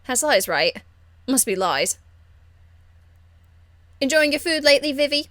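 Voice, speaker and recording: A woman acts a brash young female rebel in a fantasy video game. She is cheeky, crude and excitable, blunt and slangy, with a high voice and a dry, close sound. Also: a little slow, no room sound, full character voice. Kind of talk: video game character acting.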